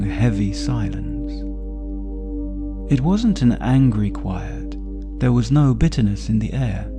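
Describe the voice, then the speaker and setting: A man narrating a sleep story for adults as a storyteller, with a soothing, gentle, unhurried delivery. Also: mid-range voice, vocal fry. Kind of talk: slow bedtime story narration.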